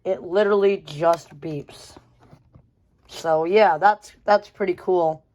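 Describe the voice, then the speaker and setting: A woman's voice: lowish voice, slow pace, dull audio, noisy background. A woman giving a casual, unscripted show-and-tell of a collection, rambling and often repeating phrases. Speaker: radio collector showing a collection.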